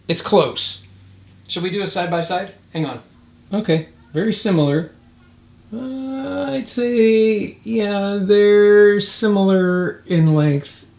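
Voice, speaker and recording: A man giving a casual hands-on product demonstration, thinking aloud in a halting way. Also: high voice. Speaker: product reviewer.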